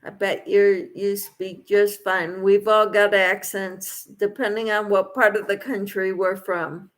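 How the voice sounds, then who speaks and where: Slow-paced woman hosting a casual livestream. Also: medium pitch, a bit bright. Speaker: livestream host. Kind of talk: casual livestream chat, reading viewers' comments aloud and replying.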